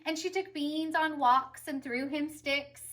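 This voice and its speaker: A woman reading a picture book aloud as a teacher would to children, expressive and emphatic, with asides to young listeners. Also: high-pitched, a little slow.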